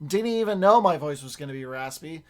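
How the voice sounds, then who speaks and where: Raspy young guy rambling on stream, slightly high voice, keeps restarting his sentences. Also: lively intonation, fairly quick. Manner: rambling, self-correcting, swears casually. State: raspy, strained voice. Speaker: streamer and voice actor. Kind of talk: casual stream chat.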